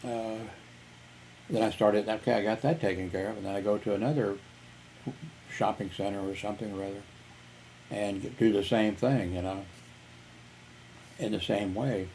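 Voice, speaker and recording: A man's voice: lowish voice, fairly even intonation, moderate pace, pauses often, darkish tone, slight background noise, low-quality recording. A man telling personal stories in a rambling vlog, filling gaps with "you know" and chuckling. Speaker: YouTuber. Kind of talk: casual storytelling vlog.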